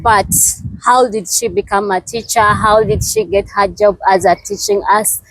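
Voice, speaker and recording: A woman hosting her own video channel, greeting viewers and introducing a guest in a warm, welcoming way. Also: medium pitch, steady tone, moderate pace, no room sound, poor audio. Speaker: channel host. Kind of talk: casual interview chat.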